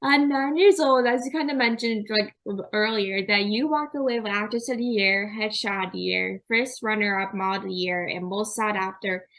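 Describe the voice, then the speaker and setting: A woman's voice: medium pitch, normal speed, hardly any pauses, a bit bright. A young woman hosting a podcast interview, chatty and filling her talk with "like". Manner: chatty, says "like" constantly. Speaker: podcast host. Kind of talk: casual interview chat.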